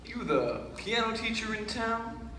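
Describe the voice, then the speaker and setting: A brash traveling salesman with a bright, forceful voice. He rattles off folksy insults, all bluster and indignation. The sound is roomy, like an old film soundtrack, with a faint hiss behind it. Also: sits high, animated, full character voice. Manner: folksy, fast-talking, indignant.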